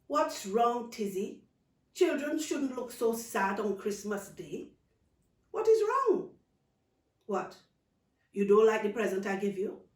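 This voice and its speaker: Woman doing a big-hearted auntie from a kids story, teasing, stretches words, bouncy pitch, mic far off. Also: big pitch swings. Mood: coaxing, concerned. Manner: teasing questions, drawn-out emphatic phrasing, dialect grammar. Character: playful, nurturing aunt, big-hearted and teasing. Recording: roomy, distant microphone.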